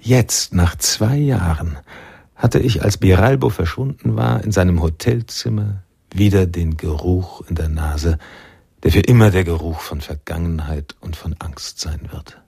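An actor playing a reflective, sombre noir narrator in a radio drama, telling the story in measured, literary sentences with a gravelly voice. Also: male voice, low-pitched, dark tone, no room sound, exaggerated voice. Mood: sombre, ominous.

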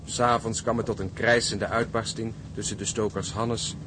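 A man's voice: fairly low pitch, level tone, moderate pace, lightly acted. An actor playing a sober seaman who narrates his grim voyage diary in an audio drama. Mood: grave, brooding. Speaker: narrator and ship's officer keeping a diary. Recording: clearly noisy audio track.

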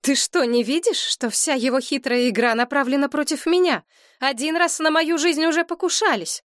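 A fierce, relentless young woman, as a woman reader puts her on, pressing hard in a high, heated voice. She grills her target with cold accusations. Dry, close recording. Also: normal speed, no room sound, natural voice. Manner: heated, hissing through teeth when quiet.

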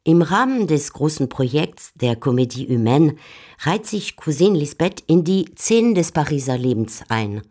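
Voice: mid-range voice, darkish tone, slightly rough. A narrator telling a literary story in a scripted radio drama.

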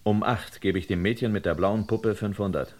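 Man playing a deep-voiced, wary, determined man. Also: fairly even intonation, normal speed, a little mellow, a little hiss or hum, lightly acted. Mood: firm, bargaining. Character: wary, determined man bargaining and probing an old murder. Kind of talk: German radio crime drama acting.

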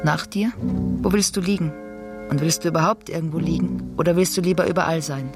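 An actor playing a grown child who confronts their mother in a literary radio monologue, with deliberate, repetitive phrasing. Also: medium pitch, over loud music, natural voice. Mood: probing, haunted.

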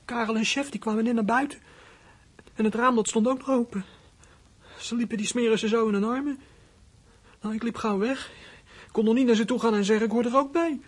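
A frightened boy in a radio drama, played by an actor. The voice is husky and halting, stumbling over words. He sounds like a hurt outcast, quick to defend himself. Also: mid-range voice, steady tone, close to an everyday voice. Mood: sullen, bitter. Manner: halting, stammering, emotional. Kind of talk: radio play acting.

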